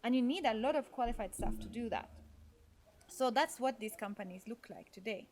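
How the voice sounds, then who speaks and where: A woman's voice: a little high, expressive, a little fast, a little mellow, a little hiss or hum. A woman from Norway, a podcast guest telling her life story in a thoughtful, conversational way, with frequent fillers and self-corrections.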